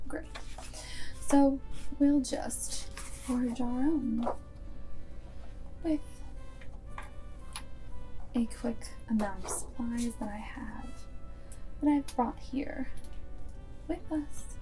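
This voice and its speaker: A woman playing a guarded but secretly fond vampire girlfriend and wartime spy in a soft, whispered ASMR roleplay, speaking deliberately close to the microphone. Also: a little high, soft background music, rough recording. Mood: brisk, dry. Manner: hushed, deliberate, often dropping into whisper.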